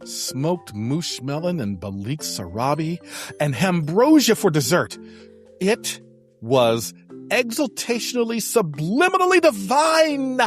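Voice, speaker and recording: A woman's voice: lowish voice, sing-song, slow, soft background music. A woman playing a pompous, arch circus performer on an adventure, speaking grandly in an audio drama. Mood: grand, pleased. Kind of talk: audio drama character acting.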